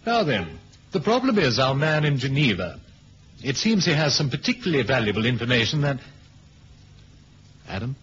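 Headmasterly British intelligence chief, animated and fussy, radio drama briefing, slightly hissy recording. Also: male voice, mid-range voice, moderate pace, pauses often. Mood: brisk. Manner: clipped, officious, headmasterly. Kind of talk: 1970s radio drama acting. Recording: dull lo-fi old radio recording, hiss.

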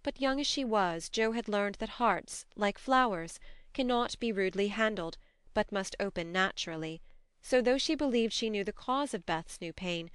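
A woman reading a classic novel aloud as an audiobook narrator, in an expressive literary storytelling style. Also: mid-range voice, clear, resonant tone, no echo, studio quality.

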